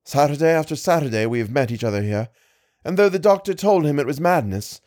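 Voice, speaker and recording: A man voicing a distressed young Victorian woman who pleads in a low, murmuring voice with formal, ornate speech. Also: lively intonation, fairly quick, a little mellow, no room sound, clean studio sound, lightly acted. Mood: earnest.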